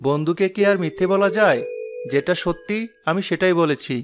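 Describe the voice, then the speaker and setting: A man voicing a gentle, wise golden bird with soothing words in a cartoon moral tale. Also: high voice, normal speed, natural voice. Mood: kind, friendly. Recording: music behind the voice. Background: background music.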